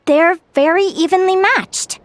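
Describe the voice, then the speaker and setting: A woman's voice: very high voice, measured pace, no echo. A woman voicing a curious, upbeat young bird fae in a video game, with an earnest, bubbly manner. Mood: cheerful. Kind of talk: video game story dialogue acting. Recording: music behind the voice. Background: game music.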